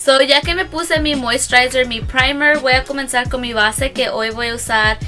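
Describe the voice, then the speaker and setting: A young woman with a bright, slightly high voice talks through a casual makeup tutorial in a small room, speaking to a camera close to her face. She is a native English speaker who hesitates, corrects herself and slips English words in. Faint music plays underneath. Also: fairly even intonation, moderate pace. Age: young adult. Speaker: beauty vlogger.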